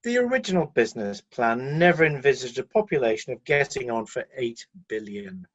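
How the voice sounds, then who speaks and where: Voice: medium pitch, animated, brisk, muffled recording, lightly acted. An actor playing a loyal, defensive celestial middle manager in a satirical radio play, breezily making corporate excuses and sounding mildly flustered.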